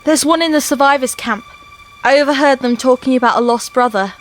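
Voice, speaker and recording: Woman playing a high-voiced, plucky adventuring companion. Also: brisk, slight background noise, natural voice. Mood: horrified, concerned. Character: plucky, warm-hearted female companion of an adventurer. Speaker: companion in an audio drama. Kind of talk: audio drama acting. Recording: music and rain under the voice.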